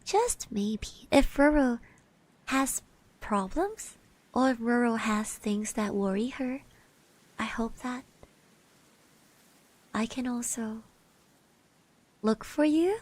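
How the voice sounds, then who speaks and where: A woman doing an ASMR roleplay as a caring girl classmate, soft-spoken and hesitant, close to the mic and often slipping into breathy whispers. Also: fairly high pitch, expressive, slow pace, long pauses, rough recording. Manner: hesitant, gently reassuring, speaks of herself in third person. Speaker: ASMR roleplay performer, streamer. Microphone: close microphone.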